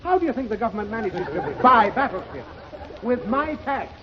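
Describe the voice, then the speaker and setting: A man's voice: very high voice, expressive, moderate pace, a loud crowd. A man playing a flustered, exasperated father trying to do his taxes, in 1930s radio comedy. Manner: flustered, theatrically exasperated, sputtering. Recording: dull 1930s radio broadcast with hiss and studio audience.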